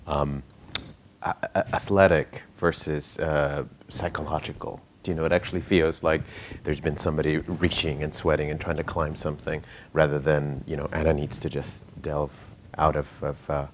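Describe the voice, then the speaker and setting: A man with an extremely deep voice moderates a live discussion. He talks in a halting, searching way with repeated words, and there is faint noise behind him. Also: level tone. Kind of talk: hosting a live conversation.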